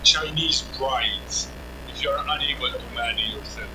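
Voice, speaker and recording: A man's voice: mid-range voice, measured pace, gravelly. Estonian-native man on noisy voice chat. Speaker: friend in voice chat. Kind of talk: casual voice chat banter.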